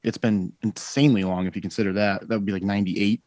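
Low-voiced forty-something man rambling. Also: steady tone, fast talker, a little mellow, no echo. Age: 40s. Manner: rambling storyteller, many fillers and self-corrections. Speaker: guest musician. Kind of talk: casual podcast chat.

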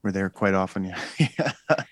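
A man's voice: deep voice, animated, moderate pace, no room sound. A man in his forties, a guest musician, telling stories in loose, rambling podcast chat full of fillers. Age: 40s. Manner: rambling storyteller, many fillers and self-corrections.

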